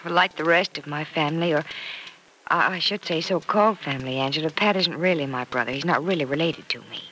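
Bright-voiced, earnest radio soap heroine. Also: female voice, low-pitched, brisk. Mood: hesitant, concerned. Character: warm, earnest young woman recovering from past pain. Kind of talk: old-time radio soap opera acting. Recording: noisy vintage radio drama recording.

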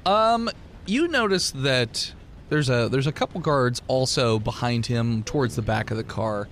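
A man's voice: medium pitch, animated, measured pace. A man hosting as game master, describing scenes in a casual, conversational way as he improvises the narration. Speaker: game master and podcast host. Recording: clear background noise.

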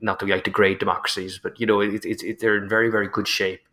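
An Irish man from Limerick in his forties answers interview questions as a history professor. His lowish voice carries a bright, forceful edge. He talks in a chatty, rambling way, full of fillers. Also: flat delivery, very fast. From Ireland. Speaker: history professor, guest author.

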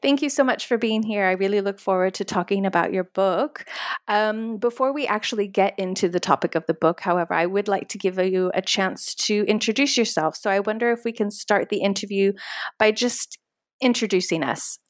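A woman's voice: medium pitch, fairly quick, no room sound. Brief: woman podcast host, polite and encouraging, dry close-mic sound. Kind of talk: interview hosting.

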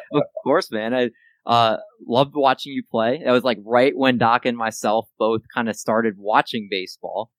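A man's voice: mid-range voice, fairly even intonation, unhurried, dry recording. A man hosting a casual podcast interview, asking questions in an easygoing, upbeat way. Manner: upbeat hype intro, then casual questions. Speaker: podcast host.

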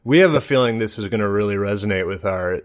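Man, low voice, podcast host, casual, rambling, joking. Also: fast talker. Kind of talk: casual comedic chat.

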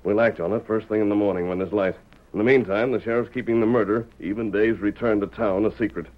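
Male actor, resolute cowboy hero, fast earnest delivery, American, formal diction, dull vintage radio sound. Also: lowish voice, fairly even intonation, close to an everyday voice. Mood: grave, determined. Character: resolute, fair-minded masked Western hero. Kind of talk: 1940s Western radio drama acting. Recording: dull old radio recording.

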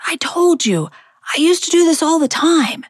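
A woman voicing a cheeky young boy ghost, taunting in a raised, high voice. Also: expressive, moderate pace, no echo, studio quality. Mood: reassuring.

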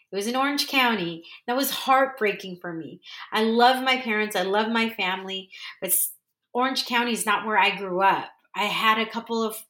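A woman's voice: mid-range voice, sharp tone. Woman podcast host from san diego, earnest, rambly, keeps correcting herself, echoey room. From San Diego, California. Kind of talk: casual solo monologue.

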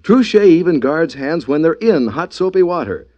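A man delivering a polished, emphatic 1940s radio advertising pitch as announcer. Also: sing-song, moderate pace. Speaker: commercial announcer. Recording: dull, lo-fi old radio recording.

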